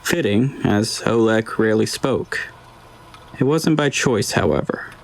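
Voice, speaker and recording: A man reading scripted dramatic audiobook narration in a measured, deliberate way. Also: on the low side. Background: ambient scene sound effects.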